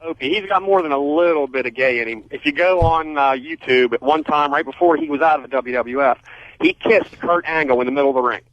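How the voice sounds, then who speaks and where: A man's voice: fairly high pitch, fast, no room sound. A man calling a radio show, chatting casually over a phone line. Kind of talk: casual call-in chat. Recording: dull dry phone call.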